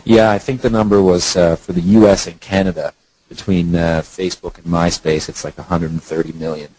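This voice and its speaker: Low, husky-voiced man presenting by phone. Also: fairly even intonation, brisk, darkish tone, low-quality recording. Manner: informal, explanatory. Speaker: online marketer, company co-founder. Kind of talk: conference call presentation. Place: phone conference call.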